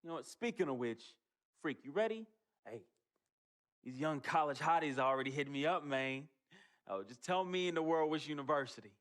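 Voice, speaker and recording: A brash young man as the excitable best friend in a video game story. His voice is fairly high. He talks in cocky slang. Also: normal speed. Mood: cocky, playful. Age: young adult. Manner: brash, slangy, repeats himself. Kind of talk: video game cutscene acting.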